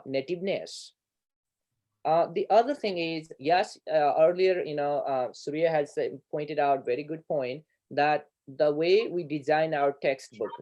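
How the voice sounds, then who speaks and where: A man's voice: a little high, warm tone. A man from Nepal with a Nepali accent, answering questions in an online education talk. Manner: earnest, repeats words, asks "right?". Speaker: guest speaker, educator. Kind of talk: online lecture Q&A.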